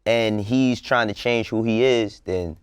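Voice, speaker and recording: A man's voice: fairly low pitch, unhurried, clear, resonant tone, dry recording, studio quality. A man with an American accent chatting casually and emphatically as a talk show co-host, full of slang and swearing. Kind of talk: casual opinionated panel chat.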